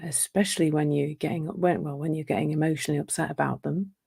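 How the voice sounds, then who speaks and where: A woman, a little croaky, speaking gently and reflectively as a relationship coach in a live talk, often restarting her sentences. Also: deep voice, fairly even intonation, fast talker. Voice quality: slightly croaky from a sore throat.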